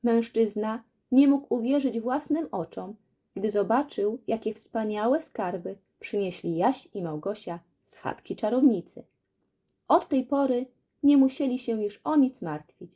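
A woman narrating a children's fairy tale as a storyteller, in an expressive scripted reading. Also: fairly high pitch, measured pace.

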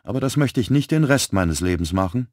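A man voicing a brisk, frank young woman who works as a police typist. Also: medium pitch, lively intonation, darkish tone, no room sound, studio quality, voice pushed up, lightly acted. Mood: lively.

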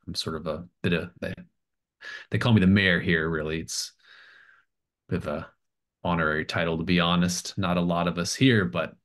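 A man acts out an eager small-town mayor in a tabletop game. The voice is very deep, and the delivery rambles and stumbles, nervously hospitable and over-helpful. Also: fairly bright tone. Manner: hesitant, stammering, over-helpful. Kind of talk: improvised tabletop roleplay.

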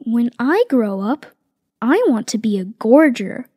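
Slow, sing-song woman reading aloud. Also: a little high, expressive, dark tone, breathy edge, soft background music. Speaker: reader voicing tiger cubs. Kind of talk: children's picture book read-aloud, slow and expressive.

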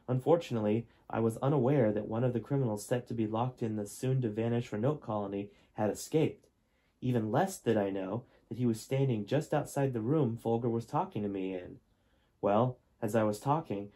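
A man reading as a husky, confessional adult son. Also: medium pitch, warm tone, close to an everyday voice. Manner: earnest, explanatory storytelling. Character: adult son from alternate timeline, historian, confessional. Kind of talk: amateur audiobook-style reading.

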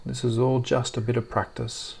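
A man leading a guided meditation in a soft, soothing, gently reassuring way. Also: medium pitch, level tone, normal speed, vocal fry, a little hiss or hum.

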